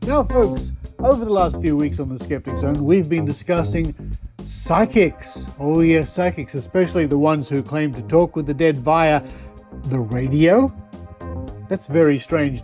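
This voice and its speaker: A man's voice: a little high, a little mellow. A man hosting a podcast in a conversational, theatrically emphatic way. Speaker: podcast host. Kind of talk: conversational presenting.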